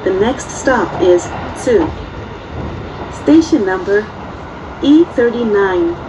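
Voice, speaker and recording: A woman's announcement sounds from a distant speaker amid loud train noise. It is scripted and formal, measured out very slowly with careful phrasing. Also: medium pitch, lively intonation. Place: train PA heard inside a moving carriage.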